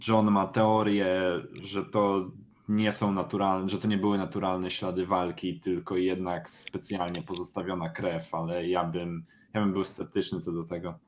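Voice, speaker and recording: A man's voice: sits low, flat delivery, normal speed. A man playing a tabletop role-playing game, talking casually in character with the other players. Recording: faint noise and clicks.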